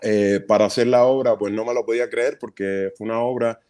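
A Cuban stage actor in his thirties, a guest in an interview, telling stories in an earnest, animated way with quick bursts of speech. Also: on the low side. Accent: Cuban Spanish. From Cuba. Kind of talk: casual interview storytelling.

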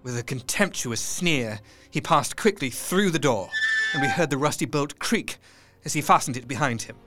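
A man narrating an audio drama in a tense, measured storytelling voice with hushed dips. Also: mid-range voice, expressive, loud music behind the voice.

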